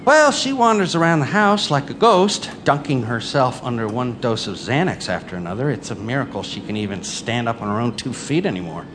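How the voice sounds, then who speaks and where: Man acting intense grieving father, swinging wildly in pitch. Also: animated, background noise, lightly acted. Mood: bitter, numb. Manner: intense, theatrical, halting repetitions. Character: grief-maddened father and obsessive scientist, desperate, brooding. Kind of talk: live audio drama acting with monologue narration. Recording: live stage recording with music underneath.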